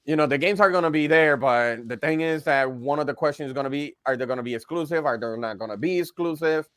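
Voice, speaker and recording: A man's voice: a little high, fast talker, fairly bright tone, smooth, no room sound, studio quality. A man chatting casually as a panelist on a video game podcast. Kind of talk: casual panel discussion about video games.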